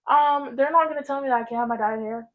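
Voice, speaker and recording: Podcast co-host, female, mid-teens, highish voice, candid and informal chat. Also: fast, rough recording. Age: 16, teenager. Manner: candid, informal, heavy use of "like".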